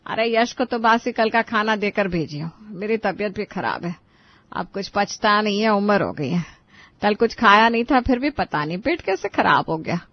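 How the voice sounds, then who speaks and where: A woman's voice: mid-range voice, animated, normal speed, highs cut off. A woman voicing a weary, placating middle-aged mother in a cartoon family story, coaxing and plaintive.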